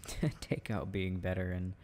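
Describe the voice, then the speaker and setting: Sweet, shy young boyfriend played by a male actor for ASMR roleplay: soft, tender, halting, slow and mellow, close-miked. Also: sits low, steady tone, a little hiss or hum. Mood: shy, hesitant.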